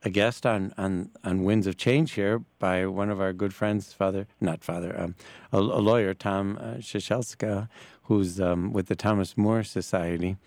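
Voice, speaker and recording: Casting: male talk radio host, lower-pitched, stumbling conversational delivery, close dry sound. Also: fairly even intonation, darkish tone, no room sound. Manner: halting, hesitant, stammering delivery. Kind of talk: casual live radio interview. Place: radio broadcast from a church.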